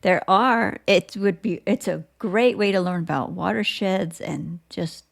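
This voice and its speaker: A woman interviewing as a podcast host, asking questions in a conversational, measured, tentative way. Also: mid-range voice, gravelly.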